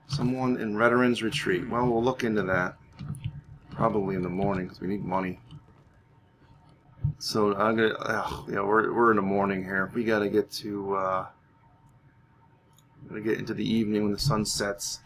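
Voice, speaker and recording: A man's voice: fairly low pitch, a bit bright, a little hiss or hum. A man giving roleplay commentary on a video game, thinking aloud as he plays.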